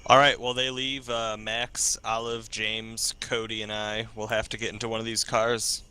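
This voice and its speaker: Flat, bright, low-pitched man. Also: normal speed, smooth. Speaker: role-play game player. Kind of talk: casual tactical discussion in a game.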